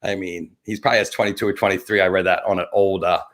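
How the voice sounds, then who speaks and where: A man with a New Jersey accent hosting a live webcast, improvising a rambling, tongue-in-cheek intro in an animated, scattered, stop-and-start way. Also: sits low, fast.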